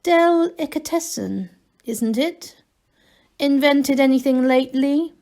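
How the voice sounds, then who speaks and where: An ancient, self-satisfied gnome elder from a storybook, acted out by a woman. The delivery is slow and smooth, with a mock-kindly condescension and a raised pitch. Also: dark tone. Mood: smug, dismissive. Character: ancient, wrinkled gnome abbot, smooth, smug and mocking.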